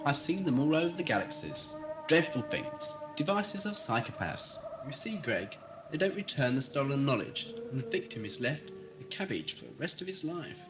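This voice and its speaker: A man's voice: medium pitch, very animated, normal speed, music in the background, close to an everyday voice, poor audio. A man playing an eccentric, knowing time-traveller in a fan audio drama, wry and articulate, with a slightly hesitant, glib manner. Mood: grave disapproval. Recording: old cassette, sound bed behind.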